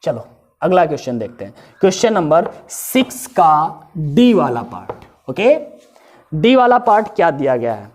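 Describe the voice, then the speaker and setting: A man teaching a math lesson with a hoarse, sore throat. He works through each step, repeats values, and puts questions to his students. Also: a little high, very animated, measured pace, a little mellow.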